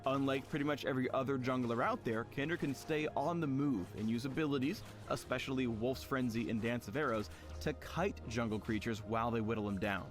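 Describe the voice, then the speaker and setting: A man's voice: medium pitch, a little fast, few pauses. A man reading a scripted video game guide as a tutorial narrator, with playful, wry phrasing. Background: music behind the voice.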